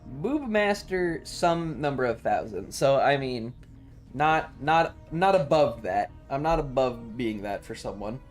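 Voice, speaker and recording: A man's voice: mid-range voice, animated, a little slow. A man livestreaming a video game with casual, irreverent, playful joking commentary and swearing. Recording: game music behind. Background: video game music.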